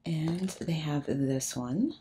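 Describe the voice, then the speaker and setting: Low, mellow-voiced older woman vlogging. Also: slow, a little hiss or hum. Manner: chatty, often drops to a whisper. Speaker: shopping vlogger. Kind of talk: casual shop-with-me narration. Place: large discount store aisle. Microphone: handheld phone camera.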